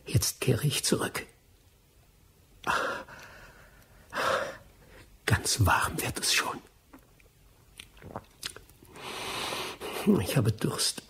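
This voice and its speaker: A man's voice: mid-range voice, fairly bright tone, natural voice. A man as a cornered, defiant adventurer. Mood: bitter, fading. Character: cornered, defiant male adventurer, bitter and fatalistic. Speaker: radio drama actor. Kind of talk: German radio play acting.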